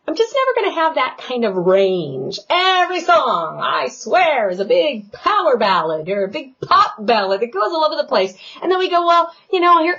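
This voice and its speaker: Woman vocal coach, fairly high voice, loud and playful, busting myths for students, slightly dull lo-fi recording. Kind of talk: online singing lesson. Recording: dull lo-fi sound.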